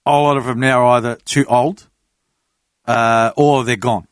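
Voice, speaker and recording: A man's voice: mid-range voice, steady tone, a little slow, clean tone, dry recording. A man on a casual podcast, bluntly explaining his view at length. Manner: blunt, explains at length with "you know what I mean". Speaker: podcast panelist. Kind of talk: casual chat.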